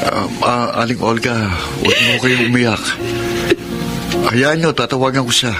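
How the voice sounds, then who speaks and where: An actor playing a gentle, helpful young man in a Filipino radio drama. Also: mid-range voice, fairly even intonation, moderate pace, over music, natural voice. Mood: consoling.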